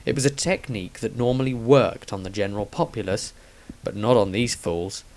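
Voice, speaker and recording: A man narrates a fantasy tale from a script. His voice sits a little low, in an audiobook storytelling style. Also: normal speed, darkish tone. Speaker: author narrator.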